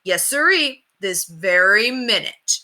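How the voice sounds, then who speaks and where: A woman voicing a folksy old male TV sheriff who hosts for children in a storybook, with a drawn-out showman's delivery. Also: mid-range voice, animated, bright, forceful tone, voice pushed up, exaggerated voice. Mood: hammy, selling. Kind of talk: children's storybook read-aloud.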